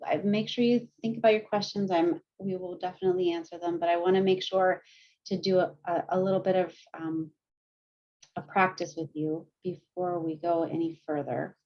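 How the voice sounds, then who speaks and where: A woman's voice: on the low side, fairly even intonation, highs cut off. Chatty woman teaching over a call. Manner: chatty, self-deprecating, laughs often; quick when nervous. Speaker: mindfulness teacher. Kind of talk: guiding a group session over video.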